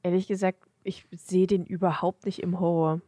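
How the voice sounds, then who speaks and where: A woman's voice: on the low side, flat delivery, normal speed, warm tone, no room sound, clean studio sound. A woman chatting casually as a podcast co-host, giving blunt opinions. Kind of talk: casual chat about films.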